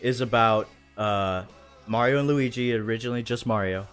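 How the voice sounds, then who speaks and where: A man's voice: medium pitch, moderate pace, fairly bright tone, clean tone. A man hosting a casual livestream chat in an enthusiastic, rambling, slangy way. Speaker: show host. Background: faint music.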